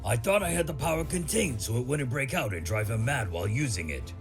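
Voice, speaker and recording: A man's voice: mid-range voice, animated, a little fast, creaky, soft background music. A man voicing a scheming, evasive evil-scientist boss in a fan comic dub. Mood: explaining, evasive.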